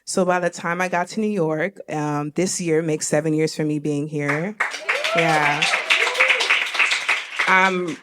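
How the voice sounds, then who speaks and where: A woman's voice: low voice, steady tone, takes it slowly, long pauses, a loud crowd, very noisy. Chatty young woman hosting a live stage talk. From Miami, Florida. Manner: chatty, candid, laughs easily. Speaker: host. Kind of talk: live stage conversation with audience. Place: live event stage before an audience.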